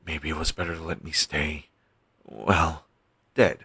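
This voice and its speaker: A man playing a weary, caring undead best friend in an intimate audio roleplay, talking casually and earnestly with halting phrases. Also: extremely low pitch, flat delivery, pushed and bright, slightly rough, no room sound, clean studio sound, full character voice. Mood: worried, gentle. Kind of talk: audio roleplay, intimate one-sided dialogue.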